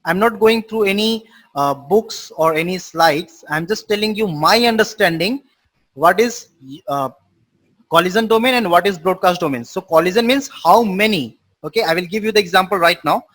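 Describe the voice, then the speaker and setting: A man's voice: high voice, moderate pace, fairly bright tone. A man teaching a live online class, explaining terms with repeated simple definitions and quizzing his students. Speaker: networking instructor.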